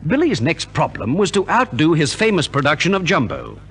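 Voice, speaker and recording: A man's voice: on the high side, huge pitch swings, fairly quick, a bit bright, husky. A man from Australia narrating as a formal, theatrical compere in 1950s scripted radio. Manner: formal, theatrical announcer delivery. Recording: 1950s radio transcription recording.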